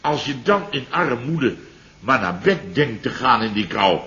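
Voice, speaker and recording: An actor playing a blustering, indignant middle-aged boss who complains in exclamations, in old radio comedy style. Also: male voice, medium pitch, sing-song, measured pace, pushed and bright. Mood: exasperated. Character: blustering, indignant middle-aged boss grumbling about his holiday cottage. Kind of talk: 1970s Dutch radio comedy acting. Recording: muffled old radio recording.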